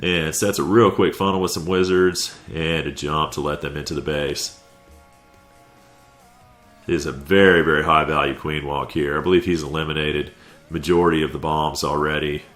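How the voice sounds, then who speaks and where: A man's voice: a bass voice, steady tone, moderate pace, a bit bright, faint music behind. A man with a stuffy head cold giving laid-back, conversational play-by-play commentary over a video game recap. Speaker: clan war recap commentator.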